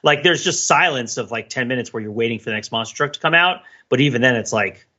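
Bright, husky podcast guy, animated storyteller. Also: mid-range voice, fast, muffled recording. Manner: animated storyteller, acts out imagined dialogue, laughs while talking. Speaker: podcast host. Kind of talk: casual conversational podcast chat.

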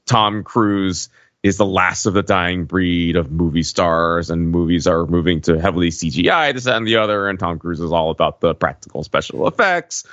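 Male podcast panelist, low voice, dry close sound, casual and digressive analysis. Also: moderate pace, fairly bright tone, dry recording, dull audio. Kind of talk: casual film discussion.

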